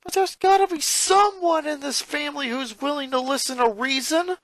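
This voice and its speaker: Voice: high voice, normal speed, a bit bright, no echo, voice pushed up. A performer voicing an exasperated eleven-year-old boy from a cartoon fan story, with an indignant, pleading and emphatic delivery. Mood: frustrated, pleading.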